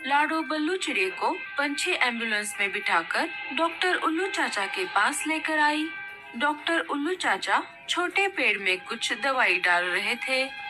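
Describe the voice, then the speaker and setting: A woman narrates a children's story from a script. She reads slowly, and faint music runs underneath her voice. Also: high-pitched, expressive, low-quality recording.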